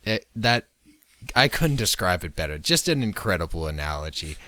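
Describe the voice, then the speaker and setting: A man's voice: low-pitched, big pitch swings, moderate pace, pushed and bright, no room sound. A young man co-hosting a podcast, joking and rambling about sports, getting worked up as he argues. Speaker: podcast co-host. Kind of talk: casual sports chat.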